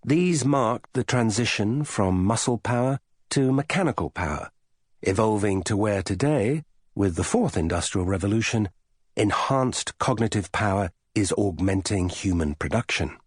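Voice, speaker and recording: A man narrating a non-fiction audiobook in a measured, formal, carefully phrased way. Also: fairly low pitch, lively intonation, husky, no echo, clean studio sound.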